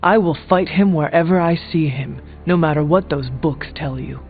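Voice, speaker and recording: Man playing a solemn warrior hero, higher-pitched, tinny. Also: normal speed, lightly acted. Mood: defiant. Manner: formal, uncontracted speech, solemn, blunt. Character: stern, resolute male warrior hero, blunt and grave. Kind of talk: video game character dialogue. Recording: thin phone-like audio, music behind.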